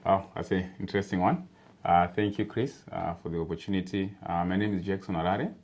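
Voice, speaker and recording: Man, very deep voice, relaxed, chatty guest. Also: fairly quick. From Zimbabwe. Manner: relaxed and chatty, many fillers, laughs easily. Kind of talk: casual interview chat.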